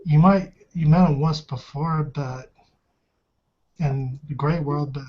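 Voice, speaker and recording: A man's voice: fairly high pitch, fairly even intonation, takes it slowly. A man in a tabletop roleplaying session, casually describing characters and discussing the game. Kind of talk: casual improvised game narration. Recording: muffled lo-fi voice recording.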